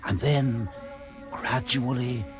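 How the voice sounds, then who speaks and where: A man's voice: medium pitch, slow, lightly acted. An actor in a radio drama playing a tense, knowing male schoolteacher, explaining with dramatic urgency. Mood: intense, explaining. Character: tense, knowing schoolteacher guiding a companion through danger. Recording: music under the voice.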